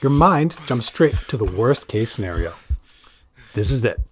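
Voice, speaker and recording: A man narrating a scripted educational explainer as a psychiatrist host, stressing key words with dramatic pauses. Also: mid-range voice, sing-song, slight background noise.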